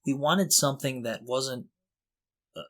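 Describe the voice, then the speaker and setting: A man co-hosting a podcast, talking casually and explaining things in an informal, halting way. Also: medium pitch, a bit bright. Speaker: podcast co-host.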